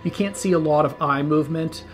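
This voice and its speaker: A man's voice: on the high side, fairly quick, over music. A man in his thirties, a channel host, chatting casually and explaining practical details in a small indoor studio. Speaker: channel host reviewing masks. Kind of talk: casual review talk.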